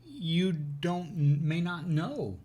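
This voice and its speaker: A man speaks as a co-host in a conversational advice style. His delivery is measured and halting, broken by pauses in the middle of sentences as he shares advice and anecdotes. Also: fairly high pitch, sing-song, warm tone, breathy edge. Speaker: co-host of an educational show.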